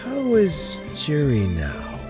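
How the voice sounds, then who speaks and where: An actor playing a gracious, weary elderly statesman in a video game, gravelly, measured and courteous. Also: on the high side, huge pitch swings, over music, heavily acted. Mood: wistful, resigned. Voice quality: gravelly, mellow.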